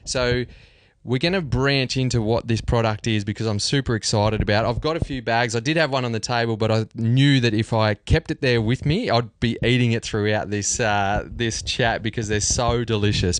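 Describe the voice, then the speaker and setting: A man's voice: mid-range voice, no room sound. A man hosting a conversational podcast interview, talking in an enthusiastic, chummy way. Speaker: podcast host.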